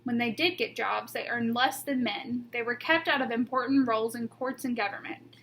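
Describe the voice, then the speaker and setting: A woman reading a children's picture book aloud like a teacher, with deliberate emphasis on key words. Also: on the high side, fairly bright tone, low-quality recording. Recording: noticeable background noise.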